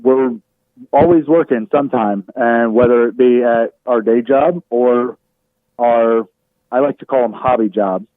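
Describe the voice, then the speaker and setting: A man's voice: medium pitch, unhurried, no echo. Phone-like man as casual interview guest. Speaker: guest, online radio show host. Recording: muffled narrow-band remote call sound.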